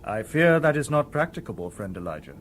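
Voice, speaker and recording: An actor playing a polite, logical humanlike robot in a radio drama, speaking formally and precisely. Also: male voice, medium pitch, sing-song, a little fast, a little hiss or hum, natural voice. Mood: polite, matter-of-fact.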